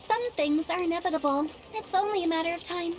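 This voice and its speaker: A woman's voice: very high voice, fast talker. A woman voicing a mischievous young female funeral director in a video game, with a playful, salesy manner. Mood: breezy. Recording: game dialogue over music.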